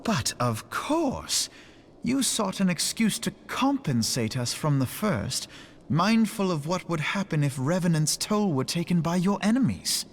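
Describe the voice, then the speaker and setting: High-pitched, proud young nobleman. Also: very animated, measured pace, a little hiss or hum. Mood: probing, accusatory. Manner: formal, pointed, archaic diction. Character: proud, sharp-minded young nobleman, probing and precocious. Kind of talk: scripted fantasy game dialogue.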